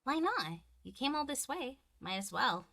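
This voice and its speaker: Woman as gracious high-voiced cartoon pony princess. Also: very animated, moderate pace, creaky, pushed and bright, dry recording, clean studio sound, heavily acted. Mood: friendly, welcoming. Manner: polite, welcoming. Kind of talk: animated fan drama voice acting.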